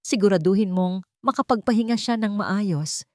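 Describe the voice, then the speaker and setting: Woman narrator as kindly male chairman, Filipino accent, dignified, measured, reassuring, story drama, dry recording. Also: mid-range voice, no echo, natural voice. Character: kind, dignified male company chairman, a devoted son.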